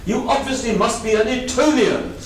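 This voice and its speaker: A man voicing a choleric, distinguished philosophy professor, roaring with indignation, in a story read aloud. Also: normal speed. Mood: furious. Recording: very echoey hall, distant mic, noisy rumble.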